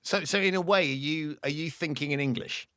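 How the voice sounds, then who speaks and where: Man, podcast host, bright tone, dry close sound, reflective questions. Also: on the high side, brisk, clean tone, no room sound. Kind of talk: interview conversation.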